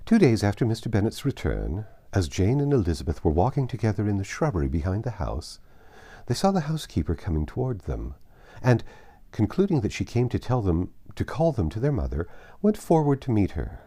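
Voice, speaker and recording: A man reads literary narration for an audiobook. His voice is fairly low and mellow, and his intonation rises and falls widely through the sentences. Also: sing-song, brisk.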